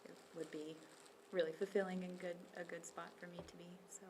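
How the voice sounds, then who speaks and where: A woman from Kentucky who works as an instructor, answering interview questions in a thoughtful, measured way. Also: fairly low pitch, level tone. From Kentucky, USA. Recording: noticeable background noise.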